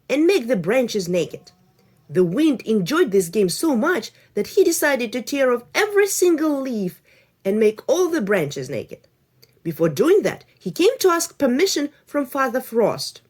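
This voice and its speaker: Lively woman reading to children. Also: fairly high pitch, husky. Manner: animated and expressive. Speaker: storyteller. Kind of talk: reading a folk tale aloud for children.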